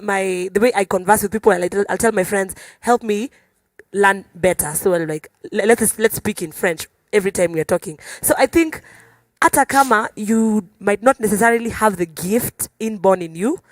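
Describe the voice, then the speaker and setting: A woman's voice: mid-range voice, moderate pace, no room sound. Kenyan woman chatting, close dry sound. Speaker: musician, singer, panel guest. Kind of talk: casual conversational panel talk.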